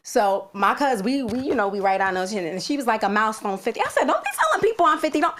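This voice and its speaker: A woman in her fifties co-hosting a podcast, chatting casually in an animated, slangy way. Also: a little high, fast. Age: 50s. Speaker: podcast co-host. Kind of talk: casual chat.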